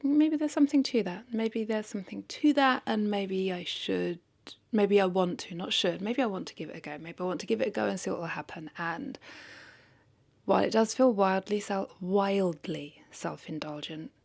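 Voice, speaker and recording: A woman's voice: mid-range voice, moderate pace, vocal fry, a little mellow. A woman talking casually and confessionally to camera as a vlogger, hesitant and reflective, questioning herself and repeating phrases.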